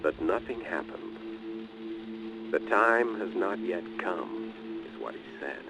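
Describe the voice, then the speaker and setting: A man acting a scheming, obsessive scholar who narrates a journal in a video game, formal and deliberate. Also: low-pitched, animated, noisy background, exaggerated voice. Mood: calculating. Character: scheming, obsessive male scholar recounting occult research. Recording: muffled lo-fi, loud music behind.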